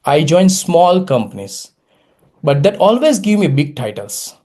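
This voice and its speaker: A man's voice: a little high, very animated, warm tone. Gujarati-accented man giving a speech. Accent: Indian (Gujarati). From India. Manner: storytelling, dramatic pauses, self-deprecating humor. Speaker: Toastmasters speaker. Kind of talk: prepared public speech.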